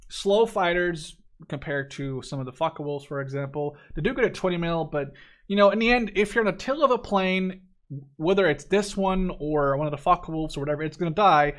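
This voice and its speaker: A man in his twenties from the Netherlands giving casual, opinionated gameplay commentary in an informal, blunt way. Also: a little high. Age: 20s. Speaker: gaming YouTuber reviewing a game.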